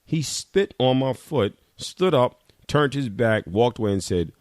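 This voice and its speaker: A man's voice: mid-range voice, lively intonation, measured pace, darkish tone, dry recording, studio quality. A man reading a short first-person literary piece aloud as a reader at a literary event.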